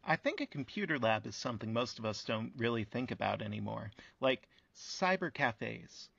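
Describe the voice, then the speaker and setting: A man's voice: medium pitch, animated, moderate pace, creaky, fairly bright tone, dry recording. A man playing a thoughtful, affable interviewer who chats reflectively in a casual radio play. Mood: musing. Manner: reflective, conversational, agreeable. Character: thoughtful, affable male interviewer of talking buildings. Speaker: interview host. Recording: dull, dry lo-fi audio.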